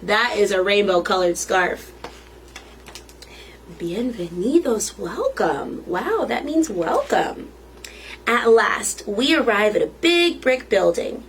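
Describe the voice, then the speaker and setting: A woman's voice: medium pitch, animated, slow pace, slight background noise. A woman reading a children's picture book aloud as a storytime reader, expressively and with questions to the listeners.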